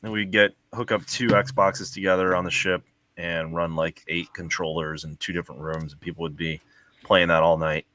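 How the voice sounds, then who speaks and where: A man's voice: sits low, flat delivery, moderate pace, sharp tone, no room sound. A man chatting casually as a panelist, explaining things in loose conversational phrases. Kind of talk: casual chat.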